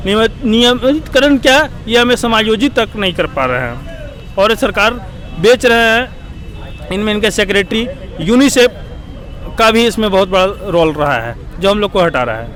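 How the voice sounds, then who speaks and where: A man's voice: sits high, expressive, moderate pace, fairly bright tone, rough recording. A protesting contract worker, a man, speaking bitterly and accusingly in a street interview outdoors.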